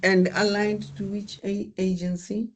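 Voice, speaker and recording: Facilitator talking real slow, encouraging, running an online class. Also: mid-range voice, flat delivery, rough recording. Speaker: course facilitator. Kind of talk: relaxed class feedback. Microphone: video call.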